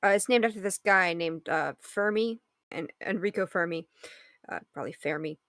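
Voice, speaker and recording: A woman's voice: on the low side, normal speed, a bit bright, no room sound, clean studio sound, lightly acted. A woman acting an affectionate, teasing wife in a naturalistic audio drama table read, conversational and playful. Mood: eager explaining. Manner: conversational, playful, explains with examples. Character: affectionate, teasing wife consoling and humoring her partner.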